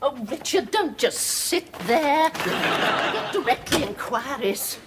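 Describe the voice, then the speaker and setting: An actress playing a pompous, snobbish middle-aged housewife who talks in an affected, over-enunciated and condescending way. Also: female voice, high voice, expressive, takes it slowly. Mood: haughty. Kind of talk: 1990s British TV sitcom acting. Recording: noisy TV sitcom track with audience laughter.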